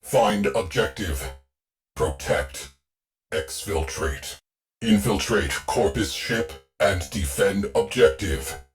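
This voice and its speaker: A deep-voiced, stoic artificial mind runs a warship in a video game. It issues slow, clipped tactical orders with commanding authority and bone-dry, deadpan wit. Faint music sits behind the voice. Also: male voice. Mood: terse, commanding. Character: terse, stoic ship AI, male military tactician with dry wit. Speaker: ship AI mission announcer. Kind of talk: scripted video game mission briefing.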